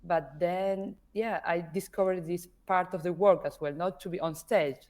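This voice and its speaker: Woman guest from Argentina, Spanish native speaker; lower register, unhurried, thinking aloud. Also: steady tone, a little mellow. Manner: hesitant, searching for words in English. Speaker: podcast guest, artist manager. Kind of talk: conversational interview.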